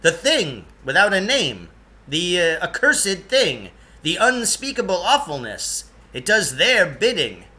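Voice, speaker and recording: Man acting a self-important eccentric man, jittery, emphatic, raised pitch, sharp bright tone, storybook character. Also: sing-song, measured pace, exaggerated voice. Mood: ominous, pompous. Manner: pompous, emphatic, florid.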